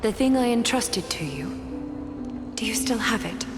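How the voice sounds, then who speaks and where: A woman's voice: on the high side, expressive, moderate pace, close to an everyday voice. A woman voicing a serene, fading demigoddess in a fantasy game, composed, formal and resigned. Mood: serene resignation. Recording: long echoing reverb, music underneath.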